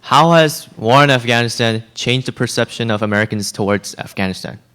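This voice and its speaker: A man asking the guest a prepared question in a measured, formal way. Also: medium pitch, sharp tone, clear, resonant tone. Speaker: interviewer posing questions.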